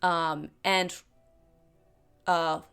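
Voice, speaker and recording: A woman's voice: fairly low pitch, flat delivery, drawn-out words, gravelly, fairly bright tone, smooth, faint music behind. A woman hosting a podcast, chatting casually about a tabletop game campaign. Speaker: podcast host.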